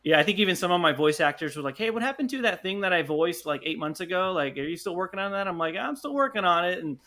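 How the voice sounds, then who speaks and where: Bright-voiced man as a podcast guest. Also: sits high, animated, quick pace. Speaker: podcast guest, audio drama producer. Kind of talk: casual interview chat.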